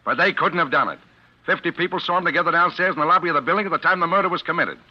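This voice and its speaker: An actor playing a hard-boiled, impatient police captain who badgers and sneers in a 1940s radio crime drama. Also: male voice, a little high, very fast, natural voice. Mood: exasperated. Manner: brusque, badgering, sarcastic. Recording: dull old radio broadcast.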